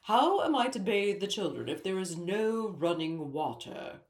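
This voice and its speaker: A prim little storybook king lectures in a formal, dignified manner, fussy and stern, as a woman puts him on. His voice rises and falls in a lively way and carries a roomy echo. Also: mid-range voice, unhurried. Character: tiny fussy pompous male king, stern.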